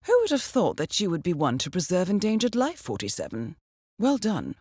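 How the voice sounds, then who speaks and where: An actress playing a cool, composed intelligence handler in a video game, briefing an assassin with articulate dry wit. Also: female voice, on the low side, wildly swooping pitch, brisk, darkish tone, breathy edge, dry recording, full character voice. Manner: composed, dryly witty, articulate. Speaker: mission handler.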